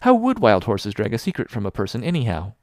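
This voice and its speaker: A man's voice: on the low side, wildly swooping pitch, fast talker, a little mellow, dry recording, studio quality. A man voicing a dramatic, imaginative orphan girl of about eleven who pleads in a theatrical, gushing way. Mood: earnest.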